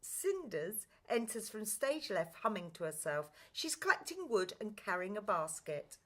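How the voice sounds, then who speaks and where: Bright-voiced woman narrator. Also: medium pitch, big pitch swings, unhurried. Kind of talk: scripted narration of a stage play.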